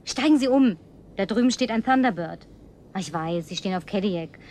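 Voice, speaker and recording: A woman's voice: mid-range voice, animated, brisk, darkish tone, slight background noise, natural voice. An actress playing a self-assured, flirtatious woman who likes a drink, in natural German radio play dialogue with a breezy, teasing, commanding manner.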